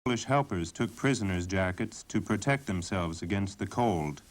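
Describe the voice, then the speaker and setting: A man reading scripted documentary narration in a measured, sober way. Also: deep voice, lively intonation. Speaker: documentary narrator and voice-over translator.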